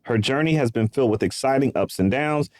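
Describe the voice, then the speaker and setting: A man's voice: medium pitch, brisk, a little mellow, no room sound. A man hosting a podcast interview, upbeat and chatty, with loose casual phrasing. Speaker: podcast host.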